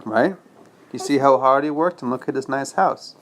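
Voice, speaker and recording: A man's voice: mid-range voice, moderate pace, fairly bright tone. A man reading a bedtime story as a father to his child, patiently explaining in simple words with check-in questions.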